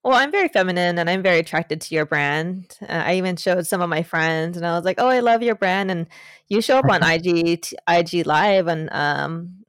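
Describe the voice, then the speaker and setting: Woman host, low-ish voice, gushing, casual, dry close sound. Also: fairly quick, smooth, no room sound. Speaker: podcast host, coach. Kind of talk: casual podcast interview. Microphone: remote call.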